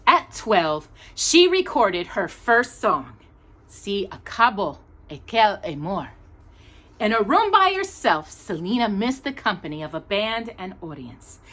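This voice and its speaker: Female storytime reader, husky tone, lively intonation, expressive emphasis for a picture book audience of children. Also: mid-range voice. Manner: animated, emphatic storytelling with pauses on key words. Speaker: storytime host reading a children's book aloud.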